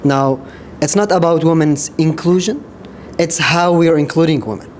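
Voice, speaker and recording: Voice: medium pitch, moderate pace, darkish tone, a little hiss or hum. A round-table participant giving formal, courteous comments to the panelists. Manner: polite, formal, addresses panelists directly. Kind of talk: panel discussion remarks.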